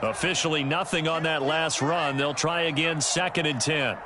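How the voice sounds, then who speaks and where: A man's voice: fairly high pitch, steady tone, normal speed, a loud crowd, heavy background noise, lightly acted. A man playing a TV football play-by-play announcer with an American accent in a video game. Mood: measured. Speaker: play-by-play sports commentator.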